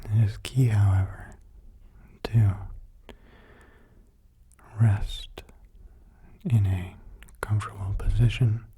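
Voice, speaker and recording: Male ASMR meditation guide: low, dark, hushed and breathy, soothing, halting phrases. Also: steady tone, a little hiss or hum. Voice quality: breathy, hushed, mostly whispered. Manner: slow, soothing delivery with short halting phrases.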